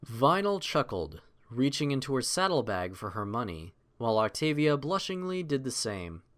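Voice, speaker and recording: A man reading a scripted story as narrator, in an expressive, literary delivery. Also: medium pitch, normal speed.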